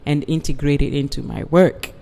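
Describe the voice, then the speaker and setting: Calm, affirming woman in a reverberant hall. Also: low voice, creaky. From Uganda. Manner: measured, affirming, says "yes" often. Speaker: guest artist. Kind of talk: calm conversational interview.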